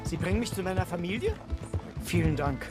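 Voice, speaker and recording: A man's voice: high voice, lively intonation, fairly quick, loud music behind the voice. A man with a German accent playing a grateful, flustered family man in a western game, effusive and earnest. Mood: anxious, grateful. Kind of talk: cinematic western game acting.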